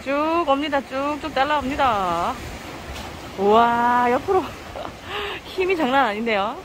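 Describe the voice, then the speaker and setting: A woman's voice: high-pitched, animated, takes it slowly. A woman hosting a fishing video, exclaiming and laughing as she films outdoors on a rocky seawall. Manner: exclaims often, laughs a lot. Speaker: fishing channel host filming. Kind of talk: excited live commentary while filming. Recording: outdoor wind and surf noise. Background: wind and waves.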